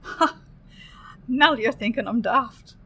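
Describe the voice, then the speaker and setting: A woman's voice: fairly high pitch, slow pace, sharp tone, husky, exaggerated voice. A woman playing a motherly, superstitious Irish innkeeper in a radio play, folksy and emphatic, with an Irish accent. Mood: reassuring. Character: homely, superstitious Irish woman innkeeper, hospitable but guarded.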